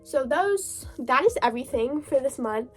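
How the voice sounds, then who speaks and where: A young woman chatting casually about books, her voice hoarse, gravelly and stuffed up from a cold, gushing and laughing often. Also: high-pitched, expressive, moderate pace, music in the background. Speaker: book vlogger. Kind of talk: casual reading wrap-up chat.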